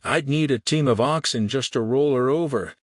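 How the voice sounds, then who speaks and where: Jeering western townsman for audiobook, played by a male reader: scornful heckler, slightly rough clarity. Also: medium pitch, moderate pace, no room sound, clean studio sound, pitched above the performer's own voice, natural voice. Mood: mocking. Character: mocking, jeering men in a frontier town crowd.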